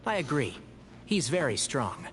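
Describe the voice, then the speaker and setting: A man's voice: a little high, sing-song, measured pace, fairly bright tone, slight background noise. An actor playing an agreeable, calculating male challenger in a video game duel. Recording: clear music behind the voice.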